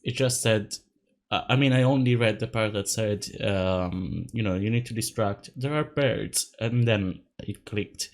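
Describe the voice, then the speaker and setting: A man's voice: on the low side, unhurried. A young man whose first language is Italian, rambling and self-mocking while commenting on a game he plays, laughing often. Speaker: let's play host. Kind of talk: casual gaming commentary.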